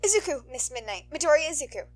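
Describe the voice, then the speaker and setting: A nervous young teenage boy as a woman narrator acts him out for a fan fiction story. The voice is raised high and stammers politely, as if close to tears. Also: big pitch swings, fast. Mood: shy, polite.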